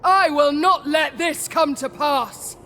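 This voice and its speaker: A woman's voice: high-pitched, slow pace, fairly bright tone, heavily acted. A woman voicing a noble, defiant warrior commander in a game, heroic and declamatory. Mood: defiant resolve. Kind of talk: video game cutscene acting. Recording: long reverb, faint noise, ambience.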